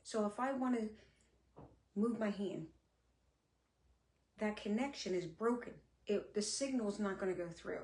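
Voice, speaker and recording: A middle-aged woman talking candidly on a live stream, her speech sometimes a little slurred, with many pauses and fillers. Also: medium pitch, a little mellow. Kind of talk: casual live-stream talk. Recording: echoey room.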